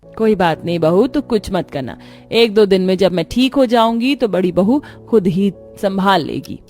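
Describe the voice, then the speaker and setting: A woman's voice: mid-range voice, lively intonation, moderate pace, darkish tone, faint music behind, lightly acted. Woman playing a mild, patient old mother-in-law. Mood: forbearing. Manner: mild, forbearing. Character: patient, gentle old mother-in-law, poor vegetable seller.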